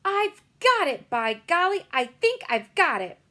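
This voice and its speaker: A woman voicing a bold young orphan girl who speaks in urgent, high exclamations. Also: animated, normal speed, fairly bright tone. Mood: excited.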